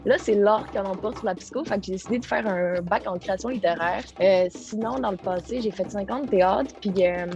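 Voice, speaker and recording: A woman's voice: medium pitch, fairly quick, creaky, hardly any pauses, darkish tone, soft background music. Québécois woman, 20s, mid voice, casual chatty self-intro. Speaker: student auditioning. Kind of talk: self-introduction at a casting session.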